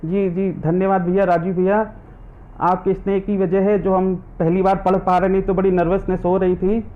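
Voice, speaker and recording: A man's voice: high-pitched, little pitch movement, moderate pace, phone-quality sound. A man reciting his own comic and devotional verses live online. He says every line twice and stops between poems to greet viewers by name. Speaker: poet; government teacher.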